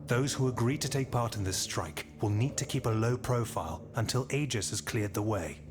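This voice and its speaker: A man's voice: mid-range voice, normal speed, natural voice. A man playing a shrewd, worldly French marquis and general in a video game, assured and formal. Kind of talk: dramatic video game acting. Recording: music behind the voice.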